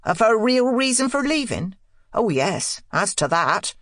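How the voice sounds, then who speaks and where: A woman voicing a troubled, plain-spoken older housekeeper in an audiobook, halting and woeful, full of hushed dread. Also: fairly high pitch, very animated, dry recording, clean studio sound, pitched above the performer's own voice. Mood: fearful. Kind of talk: audiobook character dialogue.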